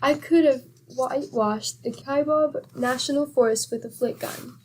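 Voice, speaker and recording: A woman acts a middle-aged wife in an old-time radio comedy. Her voice is high, her manner patient and wry, with a chiding, teasing dryness. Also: measured pace, darkish tone, poor audio. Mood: dryly teasing.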